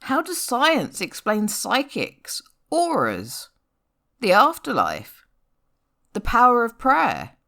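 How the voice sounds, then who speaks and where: Preachy, insistent young New Age believer woman performing, spoken-word piece, lively swooping intonation, declamatory. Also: fairly high pitch, slow, vocal fry, pitched above the performer's own voice, exaggerated voice. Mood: pert, insistent.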